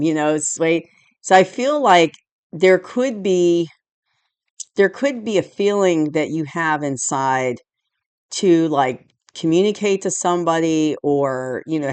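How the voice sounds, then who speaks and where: A hoarse woman recovering from a cold, giving a rambling, casual tarot reading indoors at home, full of "you know" and "like". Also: deep voice, darkish tone, no echo. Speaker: tarot reader. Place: indoors at home, dim room lit by a candle and lamp.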